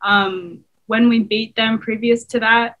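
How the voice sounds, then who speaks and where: A woman's voice: a little high, steady tone, slow, a bit bright, dull audio. A young Australian woman, a college basketball player, talking casually over a video call with many fillers. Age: young adult. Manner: casual, chatty, lots of fillers. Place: small room, indoors.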